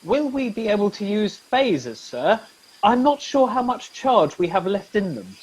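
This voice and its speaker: A man's voice: high-pitched, sing-song, moderate pace, a little hiss or hum, low-quality recording. A man playing a mild, genteel second-in-command in a comedy radio play, polite and vague. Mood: mildly concerned.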